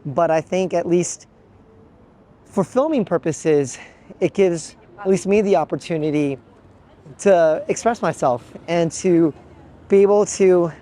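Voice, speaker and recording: Midwest guy talking to his pocket cam outside, kinda high mellow voice, thoughtful, lots of pauses and restarts. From Midwest United States. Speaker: travel vlogger. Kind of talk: reflective vlog monologue to camera. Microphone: handheld pocket gimbal camera.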